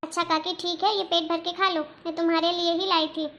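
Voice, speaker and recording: Woman as a gentle, friendly young neighbour. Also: extremely high pitch, little pitch movement, brisk, slight background noise. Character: kind young neighbour woman bringing sweets.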